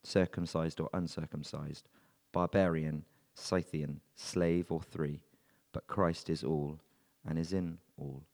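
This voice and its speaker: A man reading scripture and leading prayer in a measured, earnest way at a church service. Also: very deep voice, steady tone, darkish tone. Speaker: scripture reader and prayer leader. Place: church hall. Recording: long reverb of a church hall.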